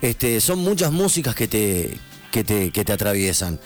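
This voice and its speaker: A man's voice: fairly low pitch, sing-song, moderate pace, soft background music. A man from Argentina, hosting a radio interview, chatty and prone to digressions. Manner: chatty, digressive, many backchannels. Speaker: radio host.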